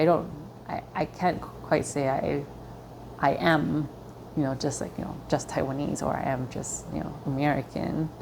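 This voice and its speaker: A woman with a notably deep, low-pitched voice answers interview questions. She speaks reflectively and often pauses to search for her words. Faint ambient noise sits quietly under her voice. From Singapore. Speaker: interview guest.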